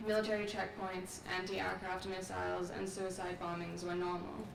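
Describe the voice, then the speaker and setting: A young woman from Sri Lanka giving a measured, earnest graduate speech at an outdoor commencement through a podium microphone. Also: on the low side, monotone, gravelly. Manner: measured, earnest, reflective delivery. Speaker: graduate student speaker. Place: large outdoor courtyard ceremony. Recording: echoey, distant, with noise.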